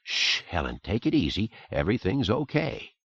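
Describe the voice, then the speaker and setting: A man reading a soft-spoken old man. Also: fairly low pitch, normal speed, creaky, dry recording, dull audio, full character voice. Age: 70s. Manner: soft, calm. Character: elderly man, quietly enraged but gentle.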